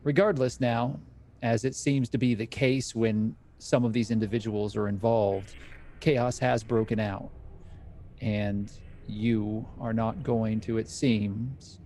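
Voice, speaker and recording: A man runs a tabletop horror campaign as game master, his voice mellow and dark. He narrates scenes in a measured, descriptive way, then turns to the players with questions. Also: on the low side, fairly even intonation, a little hiss or hum. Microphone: streaming mic.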